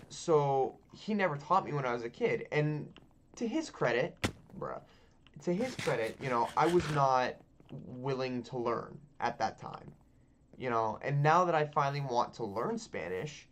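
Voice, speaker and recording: Guy, 20s, game channel host, loose chatty ramble, middle pitch, light background hiss. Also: very animated, slow pace, fairly bright tone. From Texas. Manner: loose and chatty, frequent ums and likes, casual swearing. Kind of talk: casual rambling chat over gameplay.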